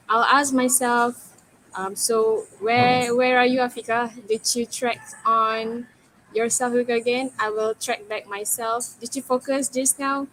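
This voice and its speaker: A woman with a fairly high voice talks carefully on a webinar panel, pausing on fillers as she thinks aloud. A faint noise hums under her video-call audio. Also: low-quality recording. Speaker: student panelist. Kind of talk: online panel sharing.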